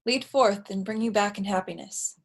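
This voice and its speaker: Woman as a courteous counsellor, medium pitch. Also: moderate pace, gravelly. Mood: dutiful, courteous. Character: courteous, dutiful senior counsellor lord. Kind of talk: staged reading of Shakespeare verse.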